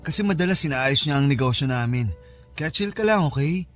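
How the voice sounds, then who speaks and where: Actor as easygoing young Filipino boyfriend. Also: male voice, a little high, expressive, brisk, soft background music, natural voice. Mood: relaxed, reassuring. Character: easygoing, reassuring young boyfriend and doting son. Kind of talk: radio drama acting.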